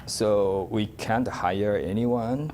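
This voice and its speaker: Slow, mellow-voiced man. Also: on the low side, slight background noise. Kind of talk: interview answer.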